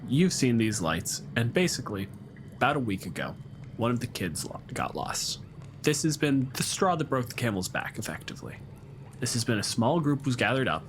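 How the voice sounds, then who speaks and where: A man's voice: medium pitch, sing-song, normal speed. A man improvising narration as game master of a tabletop game, casually explaining as he goes. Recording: clear background noise.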